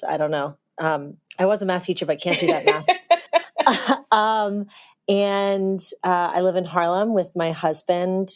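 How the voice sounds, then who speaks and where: A woman in her thirties, originally from Texas, talks as a guest on a podcast. Her voice sits a little low, and her talk is open and chatty. Laughter slips in easily as she tells her story. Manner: candid, chatty, laughs easily mid-sentence. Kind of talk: casual conversation, telling her birth story.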